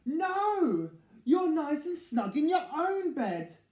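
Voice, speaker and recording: A man's voice: extremely high pitch, big pitch swings, slow pace, darkish tone, pitched above the performer's own voice, heavily acted. A man voicing a bigger, older male mouse in a children's story, weary, firm and a little exasperated. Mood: firm, reassuring. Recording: echoey room.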